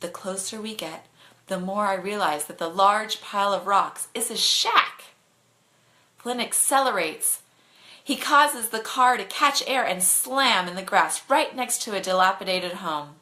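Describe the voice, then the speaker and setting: A woman's voice: mid-range voice, a little slow, sharp tone. A woman reading a bedtime story expressively to online viewers, as its narrator.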